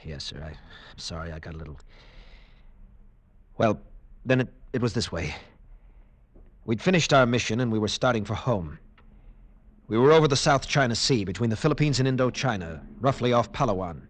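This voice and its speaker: An actor playing a haunted, earnest American bomber pilot lieutenant in a vintage radio drama. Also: male voice, lively intonation, moderate pace, pauses often, no room sound. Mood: desperate, pleading. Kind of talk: 1940s-50s radio drama acting, first-person narration. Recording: noisy old radio recording, vehicle rumble.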